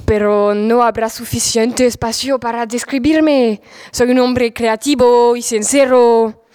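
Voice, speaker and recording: A teenage woman playing a vain, excitable older knight in a student audio drama, with a pompous, self-admiring manner. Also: fairly high pitch, a little slow, smooth, no echo, clean studio sound, lightly acted. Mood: boastful.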